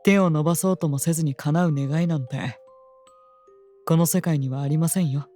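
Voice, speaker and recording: A woman playing a composed, devoted royal attendant in an audio drama, speaking politely and formally with gentle admonishment. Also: deep voice, fairly even intonation, faint music behind, close to an everyday voice. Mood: firm, calm. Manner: polite, measured, formal speech. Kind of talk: scripted audio drama acting.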